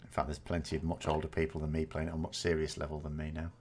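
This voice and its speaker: A man's voice: rumbling low, completely flat delivery, rapid-fire, a little mellow, slight background noise. A man, chatting casually and rambling as a gaming host answering viewer questions, often correcting himself with dry self-mockery. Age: 40s.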